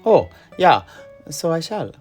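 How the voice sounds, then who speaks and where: Casting: male performer as curious fantasy mercenary knight, raised voice, halting and unsure, over a music bed. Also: on the high side, sing-song, darkish tone, pitched above the performer's own voice. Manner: hesitant, halting, full of surprised questions. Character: naive, curious mercenary knight listening to a tale. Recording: music behind the voice.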